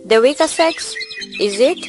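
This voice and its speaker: A woman playing a proud, sharp-tongued young village beauty who argues back in an amateur student drama. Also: high voice, expressive, unhurried, faint music behind. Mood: defiant. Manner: argumentative, retorting.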